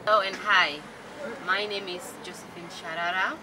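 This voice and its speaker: An earnest woman in her twenties speaks effusively as a pageant contestant. Her voice sits a little low for a woman. Background noise runs steadily under her. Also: fairly even intonation, measured pace. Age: 20s. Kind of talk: spoken self-introduction.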